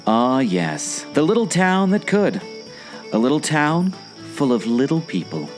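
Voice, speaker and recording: A man playing an excitable, skeptical, wisecracking amateur explorer in a scripted audio drama, with a theatrical, jokey delivery. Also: fairly high pitch, unhurried, soft background music. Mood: playfully boastful. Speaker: co-host of a theme park podcast.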